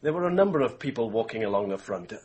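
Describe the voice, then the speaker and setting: A man's voice: medium pitch, animated, quick pace, a little mellow, lo-fi sound, slight background noise, close to an everyday voice. A man playing a tense, reluctant, grieving young man in a radio mystery drama. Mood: defensive.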